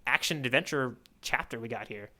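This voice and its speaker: A man co-hosting a podcast, chatting casually and playfully as he recaps a story with animated, mock-earnest delivery. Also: medium pitch, fairly quick. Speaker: podcast co-host playing the tourist. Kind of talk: casual bantering recap of a manga chapter.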